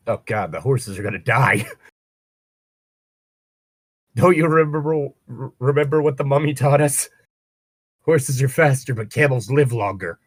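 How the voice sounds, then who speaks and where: A man's voice: mid-range voice, animated, unhurried, long pauses, dry recording. A man reading a story aloud and breaking off into wry, sweary, rambling casual commentary as co-host. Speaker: co-host of a fan fiction reading show.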